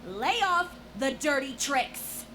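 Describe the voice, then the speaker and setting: A fearless, sharp-tongued designer from a video game. She is haughty and cutting in a somewhat high, deliberate voice, with clear background noise. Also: sing-song, full character voice. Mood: scornful, threatening.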